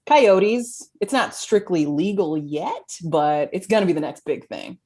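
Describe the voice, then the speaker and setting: A woman's voice: fairly low pitch, lively intonation, unhurried, warm tone, slightly rough. A woman playing a brash, shady private-eye type in her thirties, pushy and emphatic, in a comedy table read. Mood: shady, wry.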